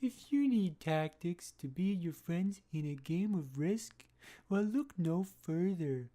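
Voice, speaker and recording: A woman voicing a male huckster pitchman in a mock radio advert, delivering a slow, cheesy sales pitch. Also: expressive, warm tone. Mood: salesy. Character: male huckster ad pitchman for a board game school.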